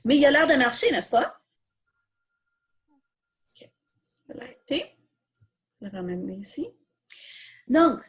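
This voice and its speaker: A woman with a Quebec French accent teaches a hands-on workshop in a slightly high voice. She takes her time, talking slowly through each step. Her delivery is informal and explanatory, often asking a question and then answering it. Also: husky. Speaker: coding workshop instructor. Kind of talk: informal step-by-step tutorial, thinking aloud.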